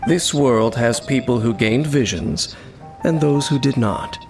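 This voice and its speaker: An actor playing a stoic young male wanderer in a game, calm and measured. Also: medium pitch, vocal fry. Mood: grave. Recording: game audio with music underneath.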